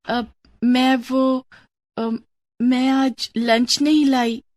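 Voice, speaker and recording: Woman voicing an earnest, high-voiced poor schoolgirl. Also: steady tone, very slow, darkish tone, dry recording, clean studio sound. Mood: hesitant. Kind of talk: storybook character dialogue.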